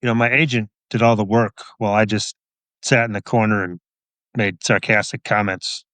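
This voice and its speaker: A man's voice: fairly low pitch, steady tone, normal speed, fairly bright tone, dry recording. A middle-aged man giving casual answers as an interview guest, modest and understated with dry humour. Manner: modest, understated, dryly humorous. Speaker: podcast guest, police officer and author.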